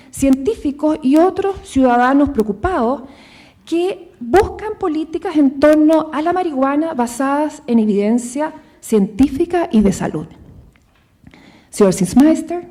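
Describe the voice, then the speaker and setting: Woman, Chilean accent, seminar moderator, formal, high voice, mellow tone. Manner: formal, with occasional fillers. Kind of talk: event announcements and introduction. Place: theater stage.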